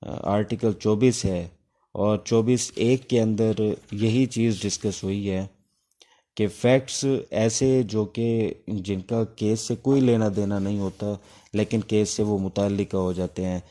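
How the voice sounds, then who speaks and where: Male law lecturer, Pakistani accent, lowish and very mellow, explanatory, halting delivery. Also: fairly even intonation. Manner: explanatory, halting with pauses between phrases. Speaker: law teacher. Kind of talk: informal lecture explaining exam material.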